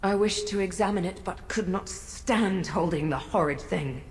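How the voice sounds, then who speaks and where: Actress as a solemn goddess in a fantasy game, deep slow regal voice, big reverb. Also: female voice, close to an everyday voice. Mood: troubled. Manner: measured, formal, grave. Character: solemn, weary, otherworldly female deity, regal and mysterious. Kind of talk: scripted fantasy game dialogue. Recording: echoey reverb, faint noise.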